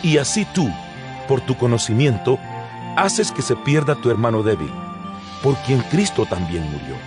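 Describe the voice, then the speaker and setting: An actor playing an earnest apostle addressing his congregation in a measured, emphatic, didactic dramatized scripture reading. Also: mid-range voice, sing-song, close to an everyday voice. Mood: earnest, instructive. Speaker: narrator of an audio Bible. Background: background music.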